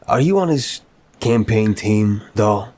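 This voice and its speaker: A man performs a mafia boss with a low, deep voice for an ASMR-style audio drama. He is wry and apologetic, a charming criminal who chats in casual mobster slang. The delivery is scripted yet conversational. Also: lively intonation, takes it slowly, a little mellow, dry recording. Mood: exasperated. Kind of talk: scripted ASMR roleplay acting.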